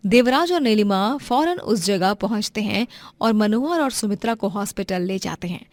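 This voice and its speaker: A woman's voice: mid-range voice, lively intonation, moderate pace, darkish tone, dry recording. A woman narrating a scripted moral story as its storyteller.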